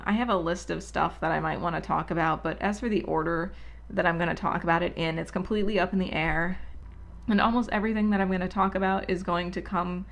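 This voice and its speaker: Woman host, soothing and low, a bit of vocal fry, chatty unscripted monologue. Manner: relaxed, rambling, candid. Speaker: channel host. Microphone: solo at her own microphone.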